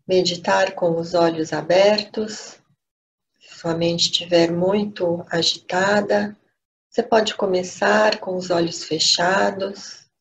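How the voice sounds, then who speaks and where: A woman with a Brazilian accent leading a guided meditation, gently and unhurriedly, pausing between short phrases. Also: medium pitch. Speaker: meditation teacher. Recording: dull lo-fi audio with steady noise.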